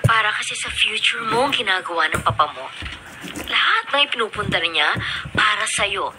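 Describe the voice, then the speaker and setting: A woman with a Filipino accent acting a doting but burdened mother in a radio drama, expressive in her delivery. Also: mid-range voice, moderate pace, loud music behind the voice, natural voice. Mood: soothing. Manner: expressive, mixes English into Tagalog. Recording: very noisy radio drama recording, clicks.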